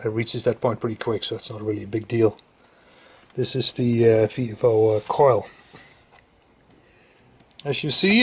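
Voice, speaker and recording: A man presenting radio equipment in an unscripted technical walkthrough, explaining things in a hesitant, halting way. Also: medium pitch.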